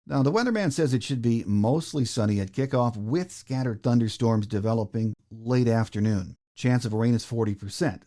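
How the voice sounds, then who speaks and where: Casting: American male sports podcast host, relaxed dark tone, mid-range pitch, team preview delivery. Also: moderate pace, few pauses. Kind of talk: sports podcast hosting, game preview notes.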